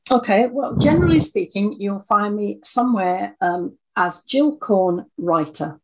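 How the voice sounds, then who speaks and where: A woman's voice: mid-range voice, expressive, a little slow, poor audio. A woman answering interview questions in a conversational way, as a guest writer. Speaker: interview guest, audio dramatist.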